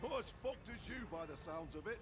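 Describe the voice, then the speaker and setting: High-pitched harried Scottish workman. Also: animated, fairly quick, over music. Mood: wry, harried. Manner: wry, blunt, slangy. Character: harried, sardonic Scottish working man on crew. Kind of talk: video game voice acting.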